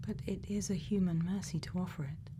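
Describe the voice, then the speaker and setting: A woman doing an ASMR roleplay as a gentle scientist, speaking in a breathy whisper and soft murmur, slow and deliberate with long pauses. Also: fairly low pitch, fairly even intonation, background noise. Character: gentle scientist questioning a robot friend. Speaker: ASMR performer.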